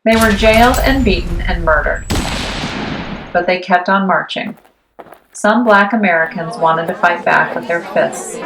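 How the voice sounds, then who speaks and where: Low-pitched woman reading a picture book. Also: a little slow, a crowd in the background. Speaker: read-aloud storyteller. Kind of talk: scripted children's picture book narration. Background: music behind the voice.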